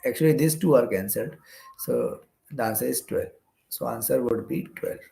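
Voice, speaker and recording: A man's voice: mid-range voice, lively intonation, normal speed, creaky, dark tone. A man with an Indian accent teaching step by step as a lecturer, often repeating phrases and checking in with "okay". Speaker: physics teacher. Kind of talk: explanatory exam-prep lecture working through problems step by step. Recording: roomy echo.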